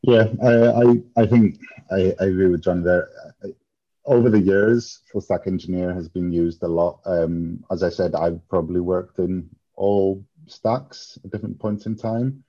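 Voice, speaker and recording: A man from Greece answering as an interview guest, speaking in a halting, thoughtful, reflective way. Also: low-pitched, steady tone, warm tone. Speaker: interview guest, software engineer. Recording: dull, muffled lo-fi audio.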